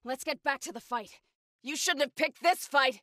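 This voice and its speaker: Intense, bright-voiced young warrior woman. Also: sits high, normal speed, dry recording, clean studio sound. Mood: grim, determined. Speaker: video game voice actor. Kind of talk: scripted game combat barks and companion lines.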